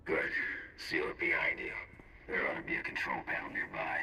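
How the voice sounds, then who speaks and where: Very deep, gravelly military officer. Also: male voice, moderate pace. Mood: urgent. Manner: clipped, blunt briefing. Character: gruff, battle-weary male military planner giving intel. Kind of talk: video game cutscene acting. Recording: echoing sound, music underneath.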